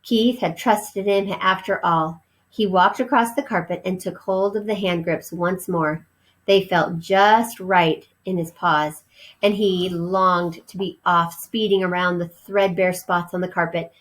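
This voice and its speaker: A woman reading a children's storybook aloud as narrator, explaining things as if to young listeners. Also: on the low side.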